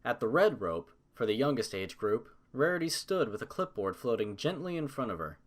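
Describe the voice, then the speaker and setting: A man's voice: medium pitch, big pitch swings, normal speed. A man narrating a scripted story as an audiobook reader.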